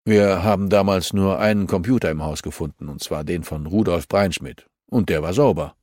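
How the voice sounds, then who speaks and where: A man's voice: sits low, normal speed, darkish tone, no room sound. Guy reading as a male cop, eager but defensive, factual, dry studio audiobook sound. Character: eager male police investigator, somewhat defensive.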